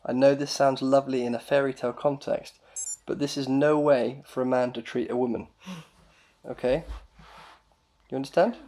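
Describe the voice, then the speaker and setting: A man's voice: mid-range voice, steady tone, normal speed, dark tone. A man talks casually with his young child as a father at bedtime. He sounds mock-concerned, picking things apart with questions. He explains his point patiently, in simple everyday words.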